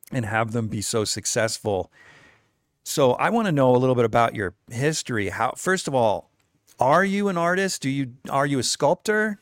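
A man's voice: mid-range voice, no room sound, studio quality. A man in his forties hosting a podcast interview, talking in an effusive, enthusiastic way and laughing easily. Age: 40s. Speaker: podcast host.

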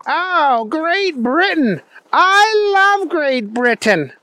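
A man's voice: very high voice, very animated, drawn-out words, bright, forceful tone, dry recording, pitched above the performer's own voice, exaggerated voice. A man voicing a naive, eager goofball in a children's story, exclaiming with simple enthusiasm. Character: hapless, hot-dog-loving, naive, eager goofball man.

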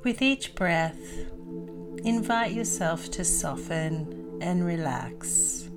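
A woman leading a guided meditation as host, calm and soothing, in deliberate phrases with long pauses. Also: fairly low pitch, music in the background.

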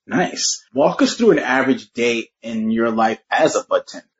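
A man's voice: mid-range voice, lively intonation, normal speed. A man interviewing a guest, asking short, easygoing questions. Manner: brief, easygoing prompts. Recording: dull lo-fi sound.

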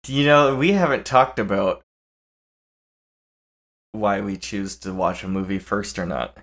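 Sleepy, rambling podcast co-host, low-voiced man. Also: a little slow. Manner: relaxed, rambling, playful. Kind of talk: casual movie podcast chat.

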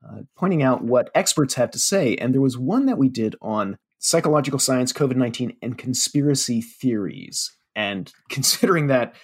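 Husky chatty man with a Pennsylvania Dutch accent. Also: medium pitch, lively intonation, moderate pace, hardly any pauses. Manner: chatty, with fillers and self-deprecating jokes. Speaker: media relations director. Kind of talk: casual podcast chat.

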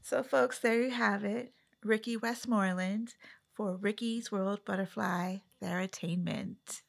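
A woman's voice: mid-range voice, fairly even intonation, measured pace, creaky. A woman hosting a podcast in a casual, chatty interview style. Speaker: podcast host.

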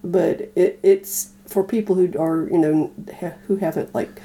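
A woman co-hosting a casual discussion of old radio dramas, explaining things in a thoughtful, halting way. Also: fairly low pitch, fairly even intonation, dark tone, slight background noise. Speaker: podcast co-host.

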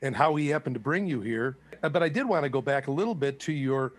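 A man's voice: mid-range voice, animated, fast talker. A man hosting an interview, prompting his guest with friendly questions. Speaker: interview host. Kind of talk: conversational interview.

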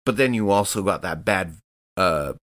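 A man's voice: deep voice, lively intonation, normal speed, fairly bright tone. A man casually narrating his character's actions in a tabletop game, in a loose, rambling way.

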